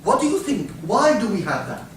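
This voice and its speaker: A man from Syria, giving a talk, halting and repeating words, asking the audience questions. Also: high voice, wildly swooping pitch. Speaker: speaker. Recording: echoey hall, from far off, faint noise.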